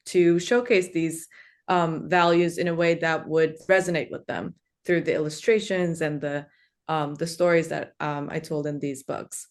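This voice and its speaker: A woman in her twenties, born in Turkey with Turkish as her first language, speaking earnestly on a webinar panel with frequent ums and uhs. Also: lowish voice. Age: 20s. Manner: earnest, fills pauses with um and uh.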